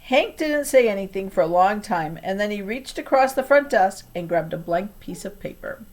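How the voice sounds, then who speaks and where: Woman reading a kids novel out loud, first person story, mid-range voice. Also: medium pitch, lively intonation, normal speed. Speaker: audiobook reader. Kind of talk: scripted children's novel narration in first person.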